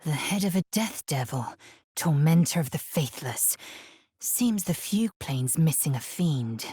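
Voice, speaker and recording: A proud, blunt alien warrior woman for a fantasy video game. Her voice is low and husky, with clipped, imperious phrasing that swings widely in pitch. She sounds haughty and scornful, as if used to being obeyed. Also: animated, unhurried, mellow, no echo, clean studio sound, exaggerated voice. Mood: brooding, appraising. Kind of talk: video game character voice lines.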